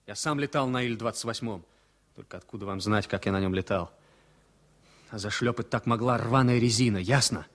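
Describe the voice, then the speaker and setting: An actor playing an anxious, know-it-all former military pilot, an insistent grumbler, in a radio play. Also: male voice, on the low side, brisk, lightly acted. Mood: worried, insistent. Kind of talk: 1970s Soviet radio play acting. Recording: slightly noisy vintage radio-play recording.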